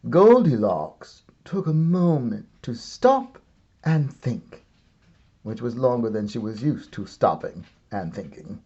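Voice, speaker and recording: A man reads a children's story aloud as an expressive narrator, theatrical and deliberate with comic timing. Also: mid-range voice, breathy edge, muffled recording. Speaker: storybook reader, art and stories teacher.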